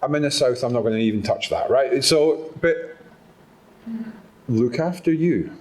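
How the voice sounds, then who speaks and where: A man's voice: mid-range voice, a little mellow. A man from Scotland with a Scottish accent, giving a motivational self-care talk to a live audience at a patient summit and often checking in with rhetorical questions. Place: conference room with a live audience.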